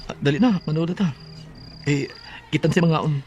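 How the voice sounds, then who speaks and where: A man acting in a Filipino Bisaya radio drama as a gentle, hesitant, pleading husband and devoted father. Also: a little high, fairly quick, a little hiss or hum, lightly acted. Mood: coaxing.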